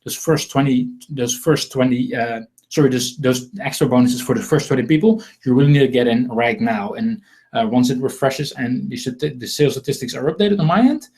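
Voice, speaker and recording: A man's voice: mid-range voice, a little fast, a little mellow. A man from the Netherlands with a Dutch accent, hosting an informal live sales webinar in a rambling, repetitive, self-deprecating way. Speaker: webinar host selling marketing software.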